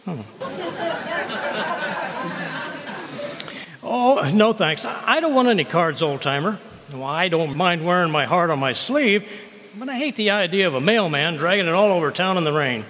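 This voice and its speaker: An actor playing a blustery, wisecracking husband who argues and stammers in an old-style radio comedy. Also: male voice, high voice, expressive, brisk, a bit bright. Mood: evasive, doubtful. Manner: wisecracking, indignant, hesitant stammers. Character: blustery, argumentative middle-aged husband, wisecracking schemer. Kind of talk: 1940s radio comedy acting, live re-creation. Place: community hall at a home park, live performance. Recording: reverberant hall, live stage performance.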